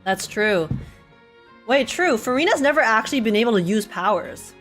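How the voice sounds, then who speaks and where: Chatty, higher-voiced young woman streamer. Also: expressive, normal speed, a bit bright, soft background music. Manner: giggly, chatty, slangy. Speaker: live streamer. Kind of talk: casual game livestream commentary and chat.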